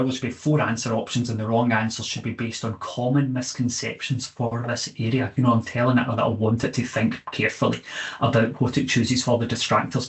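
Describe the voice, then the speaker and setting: A man talking through an online teacher training session at an ordinary mid pitch. He speaks conversationally, checking in with his listeners and pausing on "eh" as he thinks. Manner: conversational, checks in with "okay?" and fills pauses with "eh". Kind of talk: online webinar presentation.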